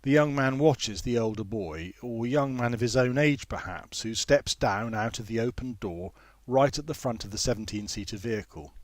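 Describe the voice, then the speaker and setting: A man's voice: medium pitch, moderate pace, no room sound. Man reading literary fiction, closely recorded. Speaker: author reading own novel. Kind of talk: literary audiobook narration.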